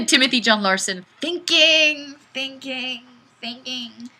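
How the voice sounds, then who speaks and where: A woman hosting a casual live stream, chatting and reading comments in a goofy, animated, exclamatory way. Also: high-pitched, slow pace. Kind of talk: casual chat, reading viewer comments aloud.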